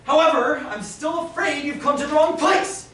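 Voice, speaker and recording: A man's voice: very high-pitched, moderate pace, fairly bright tone. A man acting a pompous bank manager who is losing patience with a visitor, in a stage play. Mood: exasperated, loud. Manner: stuffy, exasperated, prone to outbursts. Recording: roomy hall from far off, faint noise.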